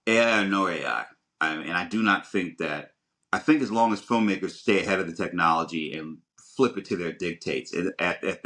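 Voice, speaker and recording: Low-voiced man talking film, emphatic and rambling. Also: a bit bright. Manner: emphatic, halting and rambling with repeated starts. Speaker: podcast co-host. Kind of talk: casual opinionated film chat.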